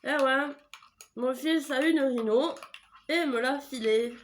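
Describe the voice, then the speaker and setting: A woman's voice: high voice, slow pace, slight background noise. A middle-aged woman with a stuffy head cold, giving a casual tarot reading at home into a desk mic, rambling and full of hesitations and self-corrections. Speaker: tarot reader. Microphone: desk microphone.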